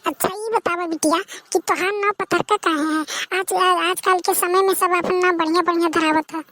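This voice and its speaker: A woman's voice: very high-pitched, fairly even intonation, moderate pace, fairly bright tone. A woman with a rural Awadhi accent voicing garrulous, boastful village women in a bantering matchmaking comedy cartoon. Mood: boastful, chatty. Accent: Awadhi, rural northern India.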